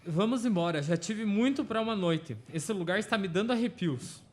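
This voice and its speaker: Man acting high-pitched frightened young adventurer. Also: lively intonation, normal speed, noisy background. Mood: nervous bravado. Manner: nervous, exclamatory. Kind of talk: amateur radio drama acting.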